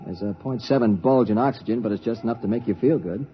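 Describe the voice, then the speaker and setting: A man's voice: fairly low pitch, fast, faint music behind, natural voice. An actor playing an eager, efficient junior male space officer giving reports in a 1950s radio drama. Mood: upbeat, reporting. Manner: crisp, reporting. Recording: dull, faintly noisy 1950s radio recording.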